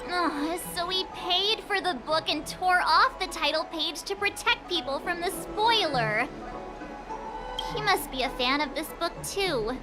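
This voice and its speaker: An inquisitive young girl in a video game. Her voice is extremely high and jumps around expressively in an exclamatory manner, with music clearly behind it. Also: animated, a little slow. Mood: wry, relieved.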